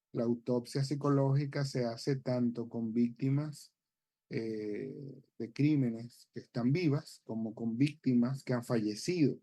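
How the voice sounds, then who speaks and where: A man with a Venezuelan accent, a psychologist guest giving measured explanations with frequent hesitations on a TV talk show. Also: mid-range voice, warm tone. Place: TV studio.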